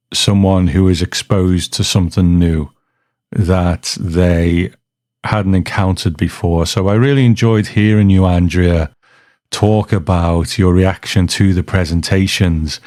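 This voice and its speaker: Male podcast host, deep register, conversational linking commentary, very little room sound. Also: a little mellow, no room sound, clean studio sound. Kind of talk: casual hosted commentary introducing listener reports.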